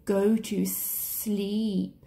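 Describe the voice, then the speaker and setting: A woman voicing a sly, hypnotic male snake from a storybook in a slow, whispery, coaxing way. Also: mid-range voice, little pitch movement, gravelly, mellow.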